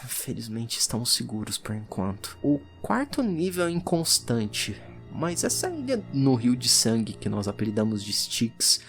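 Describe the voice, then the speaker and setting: Horror audio drama: man as haunted, exhausted expedition diarist, Brazilian accent, grave and measured, mid-range voice over music. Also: mid-range voice, very animated, a bit bright. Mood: weary, grave. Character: weary, haunted 1850s occult expedition diarist. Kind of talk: dramatic reading of a horror journal.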